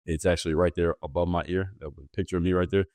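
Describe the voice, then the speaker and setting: A male performance coach, a guest on a podcast, giving steady, matter-of-fact explanations. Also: low-pitched, fast talker, dry recording. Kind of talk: interview answers.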